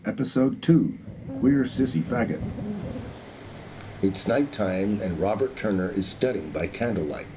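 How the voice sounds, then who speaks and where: A man's voice: lowish voice, unhurried, dark tone, a little hiss or hum. A man announcing and narrating a radio play in a scripted style. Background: string music.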